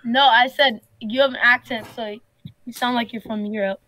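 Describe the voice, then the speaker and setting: A woman's voice: on the high side, fairly even intonation, normal speed, bright, forceful tone, poor audio. A young woman on a video chat, talking in a blunt, teasing and rude way. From United States.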